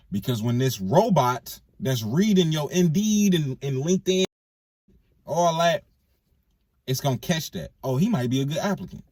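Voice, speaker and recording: A man's voice: medium pitch, darkish tone, dry recording. An American man giving a casual, emphatic opinion rant as a vlogger, repeating phrases for stress, his voice slightly worn from a cold. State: losing his voice, getting sick. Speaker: online vlogger.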